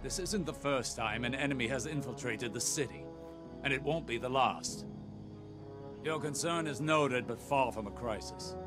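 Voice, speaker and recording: An actor playing a stern, shrewd mentor and spymaster in a video game, clipped and commanding, with music behind. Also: male voice, mid-range voice, normal speed, close to an everyday voice. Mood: stern, reproving. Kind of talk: dramatic video game dialogue.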